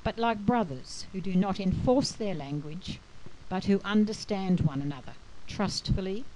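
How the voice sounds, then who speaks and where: A woman giving a scripted educational talk in a measured, explanatory manner. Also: medium pitch, lively intonation, dark tone, low-quality recording. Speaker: lecturer.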